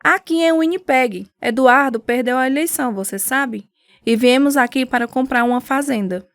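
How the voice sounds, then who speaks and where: A woman's voice: high-pitched, moderate pace, no echo, clean studio sound. An actress playing a devout, caring wife and mother in a radio drama. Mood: friendly.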